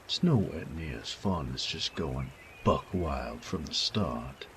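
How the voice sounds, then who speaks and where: Man playing a very deep, gravelly bandit. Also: expressive, noisy background, full character voice. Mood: dismissive, cocky. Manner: slow, taunting drawl with folksy, profane phrasing. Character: sadistic male bandit, cocky, teasing, menacing rogue. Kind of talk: intimate roleplay audio drama.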